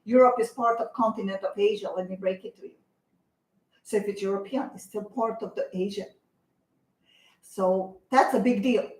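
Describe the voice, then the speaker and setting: A woman's voice: mid-range voice, steady tone, moderate pace, pauses often, a little mellow, roomy sound, low-quality recording. A woman co-hosting a talk show, speaking emphatically and insistently, as if lecturing. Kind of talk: opinionated talk show discussion.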